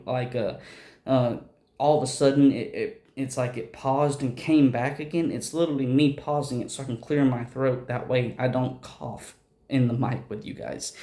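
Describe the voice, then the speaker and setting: A man hosting casual game commentary, enthusiastic and rambling, with a raspy voice and a lingering cough. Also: mid-range voice, darkish tone, roomy sound. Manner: enthusiastic, rambling, many restarts. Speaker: host.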